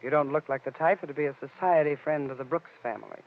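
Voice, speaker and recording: A woman's voice: low-pitched, fast. An American actress playing a sly, flirtatious, streetwise nightclub woman in a 1940s radio detective drama. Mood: coy, teasing. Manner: coy, teasing, streetwise. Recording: noisy, muffled, lo-fi 1940s radio recording.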